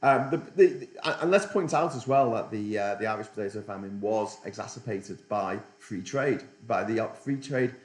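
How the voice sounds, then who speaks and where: A man's voice: lowish voice, expressive, moderate pace, hardly any pauses. Sardonic man in an echoey room. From Britain. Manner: sardonic, rambling, with restarts. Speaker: political livestream host. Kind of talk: casual livestream commentary, reading chat.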